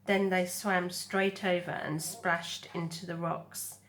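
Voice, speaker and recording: A woman reading a children's story aloud as narrator, in a careful, expressive manner. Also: lowish voice, slow. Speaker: storybook reader.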